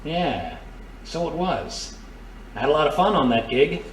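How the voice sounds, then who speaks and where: Roguish thief played by a man, lively and lighter-pitched. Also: measured pace. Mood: wistful, casual. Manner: casual, slangy, teasing. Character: roguish, easygoing male thief with a soft spot. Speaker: voice actor in audio drama. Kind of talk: audio drama acting. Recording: roomy, distant mic, faint noise.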